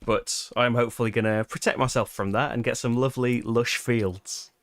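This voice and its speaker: A man's voice: mid-range voice, fairly even intonation, moderate pace, dry recording. A British man hosting a live board game playthrough, chatting casually and laughing often at his own expense. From Britain. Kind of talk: casual live-stream chat.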